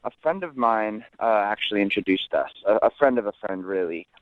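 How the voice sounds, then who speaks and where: A man's voice: lowish voice, lively intonation, fairly quick, no echo. A man calling in to a radio show, chatting casually and earnestly, often stammering and repeating words. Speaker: caller. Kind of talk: casual phone-in chat. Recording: band-limited tinny phone line.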